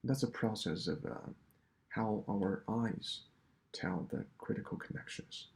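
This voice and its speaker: A man walking through a coding solution step by step, in a halting explanatory way. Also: fairly low pitch, creaky. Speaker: programming tutor.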